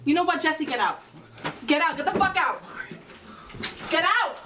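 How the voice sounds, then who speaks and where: A woman's voice: high voice, lively intonation, moderate pace. An actress playing a betrayed, accusing girlfriend in a heated film drama, recorded at a distance. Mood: furious, accusing. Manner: forceful, accusatory. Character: furious, betrayed young woman girlfriend, hotly accusing. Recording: distant mic with background noise.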